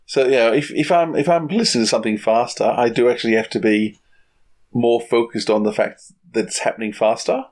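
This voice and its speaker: A man with a medium-pitched voice. He chats casually as a podcast co-host, trading banter. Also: fairly quick, darkish tone.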